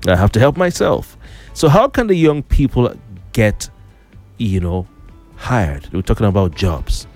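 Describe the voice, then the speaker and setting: A low-voiced man in middle age runs a morning radio show. He talks loosely, full of "um" and restarts, teasing his co-host. Soft music plays faintly behind the studio talk. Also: big pitch swings, measured pace. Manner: talkative, teasing, many fillers and restarts.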